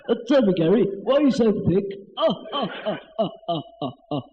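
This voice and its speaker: Cheeky know-all PE teacher, acted by a teenage boy, high-pitched and giggly, on a noisy homemade radio comedy tape. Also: very animated, normal speed. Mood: taunting. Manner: giggly, taunting, rambling. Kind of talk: amateur radio comedy sketch. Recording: echoey, dull, noisy home tape.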